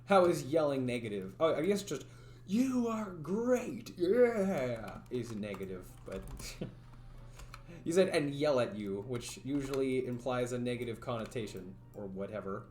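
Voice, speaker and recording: A man streaming live, thinking aloud as he codes and chats with viewers, his voice a little raspy from talking for hours. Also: mid-range voice, big pitch swings, a little slow, darkish tone. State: voice getting raspy from long talking. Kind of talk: casual livestream coding commentary. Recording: roomy echo, faint music.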